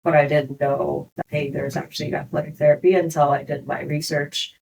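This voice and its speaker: A young adult woman from Hong Kong, speaking as a guest on a business podcast interview, reflective and unhurried, laughing often. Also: low-pitched, little pitch movement, vocal fry, a little mellow, low-quality recording. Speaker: clinic co-founder, guest.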